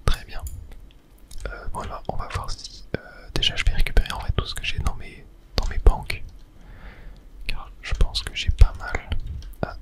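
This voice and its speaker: A gamer giving softly whispered ASMR commentary on a video game, with small clicky interjections. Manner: soft unvoiced whispering with small mouth-sound interjections. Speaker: gaming ASMR creator.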